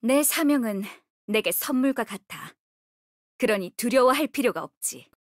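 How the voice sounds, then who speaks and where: A woman's voice: on the high side, animated, normal speed, dry recording, studio quality, close to an everyday voice. A woman voicing a resolute, composed young female healer and guardian in a video game, speaking firmly with a solemn, commanding manner. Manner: solemn, commanding, short crisp delivery. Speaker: game character voice actor. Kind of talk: video game character voice lines.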